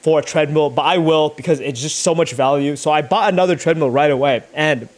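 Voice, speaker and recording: A man's voice: fairly high pitch, brisk. A young man chatting casually and thinking aloud as he works, rambling in slangy, sweary language. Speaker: YouTuber livestreaming thumbnail making. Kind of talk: casual chat, thinking aloud while working.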